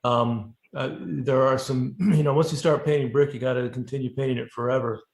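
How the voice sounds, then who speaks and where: Gravelly man in an echoey room. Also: medium pitch, fairly even intonation, fairly quick. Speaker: commission member. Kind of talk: informal meeting discussion. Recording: echoey room on online call.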